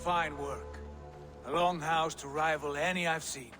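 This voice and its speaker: An actor playing a confident, commanding Viking jarl and proud clan leader in a dramatic video game. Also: male voice, on the high side, steady tone, unhurried, bright, forceful tone, soft background music. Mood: proud, welcoming.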